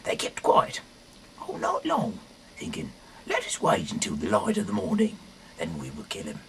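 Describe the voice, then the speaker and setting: A man reading scripture aloud in a halting, rambling way, often correcting himself and dropping in casual asides. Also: on the low side, wildly swooping pitch, creaky, a bit bright, a little hiss or hum. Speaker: amateur reader of a Bible audio series.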